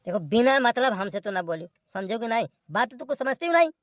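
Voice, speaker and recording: A woman's voice: a little high, lively intonation, fast, no echo, clean studio sound, natural voice. A woman voicing a weary, grumbling elderly father in a cartoon. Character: exasperated elderly father, trying to calm the family.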